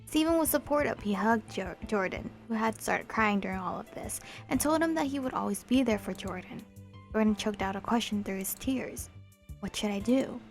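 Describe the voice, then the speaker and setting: A woman's voice: medium pitch, lively intonation, moderate pace, gravelly, soft background music. A young woman reading a visual novel aloud for a let's play, with chatty, apologetic asides between the lines.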